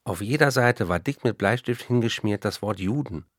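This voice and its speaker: Low-voiced, embattled 1930s German writer. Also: male voice, animated, moderate pace, no echo, clean studio sound. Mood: bitterly sarcastic. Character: embattled male German novelist of the 1930s, wry, bitter. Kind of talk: radio play, reading letters and diary.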